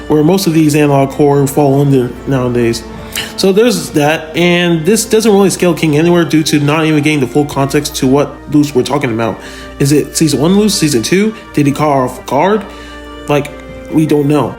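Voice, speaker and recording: Male video commentator, voice a bit on the high side, informal and analytical, conversational direct address, prominent music bed. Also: animated, brisk, a little mellow. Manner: conversational, addresses viewers directly with rhetorical questions. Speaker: video narrator, fan power-scaling reviewer. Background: background music.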